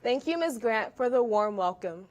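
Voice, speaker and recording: Teenage valedictorian speaking formally, Yoruba native. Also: female voice, on the high side, expressive, normal speed, a little mellow, highs cut off. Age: teens. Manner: formal, grateful. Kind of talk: prepared ceremony speech.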